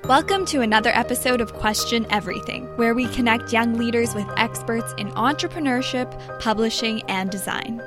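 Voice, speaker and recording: A woman's voice: a little high, normal speed, few pauses, music in the background. A woman hosting an interview podcast, giving scripted introductions and asking her guest questions.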